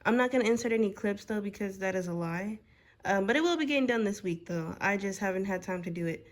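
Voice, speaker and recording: A woman's voice: mid-range voice, lively intonation, quick pace. Chatty young woman vlogging. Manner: chatty, filler-heavy, says "like" and "girl" a lot. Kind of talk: casual get-to-know-me chat while doing makeup.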